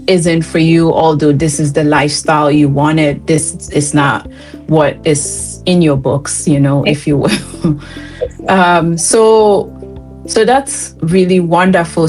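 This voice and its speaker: A woman from Ghana hosting an interview, encouraging and chatty, guiding her guest with questions. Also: sits low. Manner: encouraging, chatty, laughs lightly. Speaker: interview host. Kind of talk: conversational interview.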